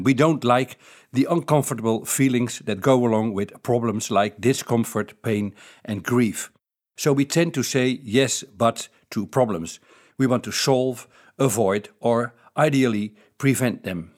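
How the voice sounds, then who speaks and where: A man from the Netherlands speaks English with a Dutch accent, laying out ideas in a steady, explanatory way. His voice sits at a middling pitch, and he slows down to stress key phrases. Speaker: author. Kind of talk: reading his own book's ideas aloud.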